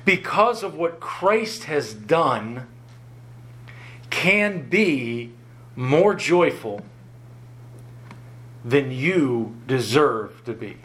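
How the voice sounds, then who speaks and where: A man preaching a sermon, earnest and often chuckling, his voice lower than usual after an illness and halting when he ad-libs. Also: expressive, fairly bright tone. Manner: earnest, chuckles often, rises in pitch for emphasis; halting, repeats words when ad-libbing.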